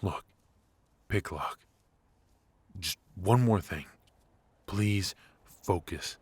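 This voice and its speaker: A man playing a cocky but affectionate secret agent in an intimate noir audio roleplay, with halting, teasing delivery. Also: low-pitched, husky, dry recording, full character voice. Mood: urgent, tender. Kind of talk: intimate ASMR roleplay voice acting.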